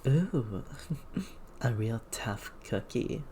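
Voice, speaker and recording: A woman's voice: extremely low pitch, animated, drawn-out words, dark tone, exaggerated voice. A woman plays a confident fantasy game sorceress who speaks in a coy, mocking tease. Faint noise is behind the voice. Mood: teasing. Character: teasing, confident female wand-wielding rival.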